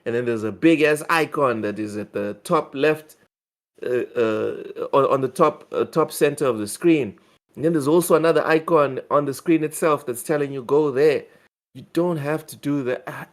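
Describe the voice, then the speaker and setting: Excitable man chatting about games. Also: mid-range voice. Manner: excitable, repeats words while thinking. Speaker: podcast co-host. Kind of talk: casual gaming news chat.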